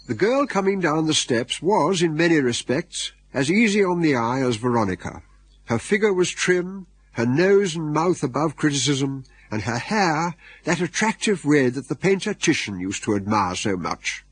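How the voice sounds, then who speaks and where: A man's voice: a little high, big pitch swings, moderate pace, breathy edge. A man with an English accent narrating a radio drama in a wry, droll storytelling manner.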